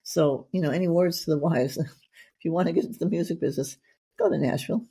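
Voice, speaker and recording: A woman's voice: low voice, fast talker, vocal fry, dark tone, slightly rough. A middle-aged woman hosting a casual interview, chatty and effusive, rambling from one thought to the next. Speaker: podcast host.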